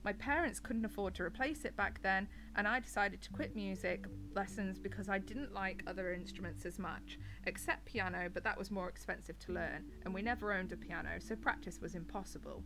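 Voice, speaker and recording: A woman's voice: medium pitch, a little fast, hardly any pauses, rough recording. A British woman in her thirties with a cold and a slightly nasal voice, chatting casually as she reads stories and comments, given to rambling asides. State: has a bad cold, a bit nasal. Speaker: host reading and commenting on online stories. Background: background music.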